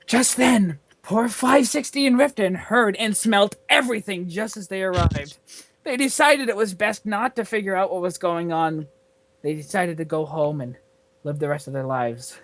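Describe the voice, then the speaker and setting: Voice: mid-range voice, moderate pace, a bit bright. A person reading their own comic fan fiction aloud in a theatrical way, as a storyteller among friends. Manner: theatrical, often breaking into laughter.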